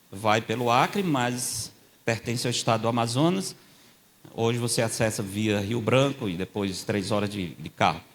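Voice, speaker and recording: Older male guest preacher, mid-pitched, conversational storyteller who lifts his voice on key scripture points. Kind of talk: sermon with storytelling. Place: church.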